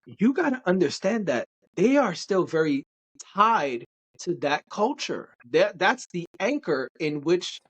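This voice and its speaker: A young adult man from Brooklyn, New York, co-hosting a podcast and talking at length in an animated, emphatic way. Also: high-pitched, a little slow. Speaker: podcast co-host. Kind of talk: casual chat.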